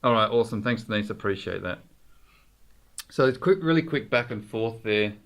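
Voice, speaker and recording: A man with a fairly low voice walks through software as a tutorial presenter. His delivery is informal and explanatory, talking straight to the viewer as he goes. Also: animated, moderate pace.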